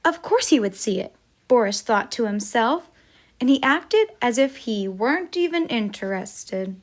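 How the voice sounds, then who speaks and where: A woman's voice: fairly high pitch, expressive, measured pace. A woman storyteller reading a picture book aloud for children, as a teacher would.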